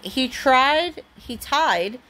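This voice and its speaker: A woman's voice: high-pitched, animated, drawn-out words, bright, forceful tone, a little hiss or hum. A woman reading a storybook aloud expressively to a young child, as a parent does at bedtime.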